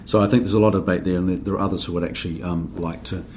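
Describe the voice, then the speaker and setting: A man from New Zealand with a New Zealand accent, explaining accounting rules on a podcast in a measured way, with frequent ums and restarts. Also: deep voice, steady tone, a little mellow, slight background noise. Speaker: accounting standards board member. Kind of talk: podcast discussion.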